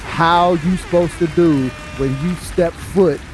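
A man's voice: on the high side, fairly even intonation, slow pace, slight background noise, rough recording. A man co-hosting a casual hip hop podcast, talking emphatically and often asking "you know what I'm saying?". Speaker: podcast co-host.

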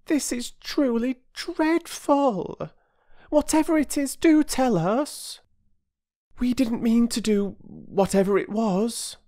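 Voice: medium pitch, big pitch swings, slow pace, darkish tone, pitched above the performer's own voice. A narrator voicing an earnest young schoolgirl from a children's storybook, imploring and well-spoken. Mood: despairing. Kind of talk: children's storybook character reading.

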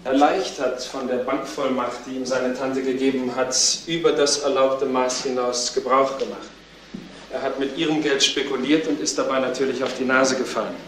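A male actor plays a sober, formal man in a crime radio drama. His intonation is very flat. He sounds somewhat distant from the microphone in an echoing room. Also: mid-range voice, moderate pace, natural voice. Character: sober, formal man reporting financial findings. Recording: echoey room, distant mic, faint noise.

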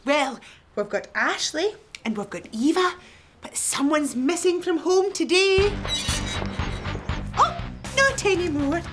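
Sing-song Scottish granny childminder. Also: female voice, very high-pitched, lively intonation, takes it slowly, pauses often, exaggerated voice. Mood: playful, encouraging. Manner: sing-song, encouraging, addressing small children. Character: warm, playful granny childminder. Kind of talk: children's television acting. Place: furnished playroom set. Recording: music behind the voice.

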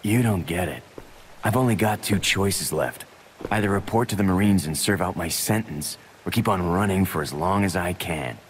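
Brooding low-voiced ex-Marine convict played by a man. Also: moderate pace, a little hiss or hum, natural voice. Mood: guarded, resigned. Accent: American. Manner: terse, weary, guarded. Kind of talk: video game cutscene acting.

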